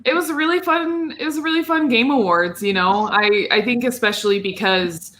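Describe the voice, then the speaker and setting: A woman's voice: a little high, fairly quick, crowd noise. A woman co-hosting a casual gaming podcast, talking in an enthusiastic, rambling way. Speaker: podcast co-host.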